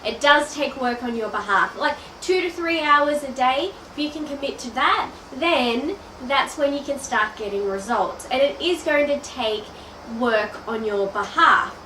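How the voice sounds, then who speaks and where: A woman's voice: high voice, unhurried, sharp tone, a little hiss or hum. An Australian woman in her thirties with a stuffy nose from a cold, talking chattily to the viewer as an online business coach. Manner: chatty, addresses the viewer directly. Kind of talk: direct-to-camera video message. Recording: roomy, a bit distant from the mic.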